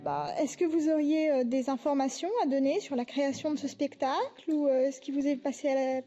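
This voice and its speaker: A woman's voice: high-pitched, steady tone, a little fast, dark tone. A woman interviewer asking casual questions in a polite, hesitant way.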